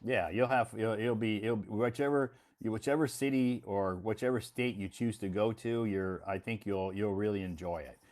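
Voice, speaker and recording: Reassuring American man, low voice. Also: moderate pace, hardly any pauses. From United States. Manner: relaxed, reassuring, repeats himself often. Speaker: English teacher and host. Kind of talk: casual conversation lesson.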